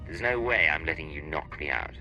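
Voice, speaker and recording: A man's voice: very deep voice, animated, brisk, pushed and bright, dull audio, faint music behind, heavily acted. A man playing a hardened secret agent in a scripted game, terse and dry-witted. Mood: resolute. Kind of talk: scripted game cutscene acting.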